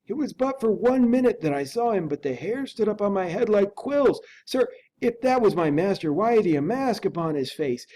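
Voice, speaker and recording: A man voicing a frightened, loyal older male servant who talks in a high, agitated voice. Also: mellow, slight background noise. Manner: high-pitched, agitated, urgent pleading.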